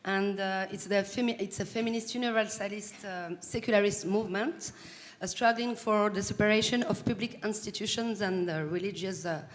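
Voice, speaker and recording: A woman introducing herself haltingly as an activist panelist. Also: medium pitch, slight background noise. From Morocco. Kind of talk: self-introduction.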